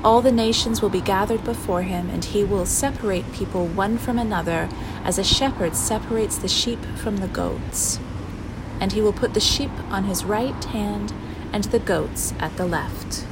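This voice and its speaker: Woman reading outdoors over loud traffic noise. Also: medium pitch, normal speed. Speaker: scripture reader. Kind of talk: spoken introduction and scripture reading. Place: outdoors by a road, outside a prison. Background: cars and outdoor urban ambience.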